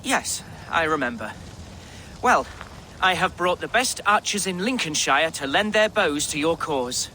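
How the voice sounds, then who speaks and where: A man's voice: a little high, lively intonation, measured pace, bright, forceful tone, slight background noise. An actor playing an earnest, nervous young Saxon nobleman with flowery speech in a video game. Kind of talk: video game cutscene acting.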